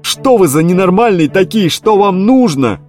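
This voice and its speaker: A drawn-out, haughty giant worm guardian, voiced by a man who speaks in a raised voice that leaps up and down. He stays lofty and slow, but he is quick to fluster. Faint music plays underneath. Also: high voice, animated, a little mellow, voice pushed up. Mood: pompous. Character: huge pompous male worm guardian.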